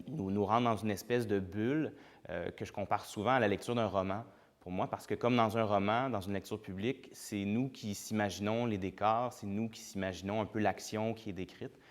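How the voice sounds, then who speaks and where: A playwright talks about his work in an interview. His voice is very low. He speaks reflectively and hesitantly, with frequent fillers.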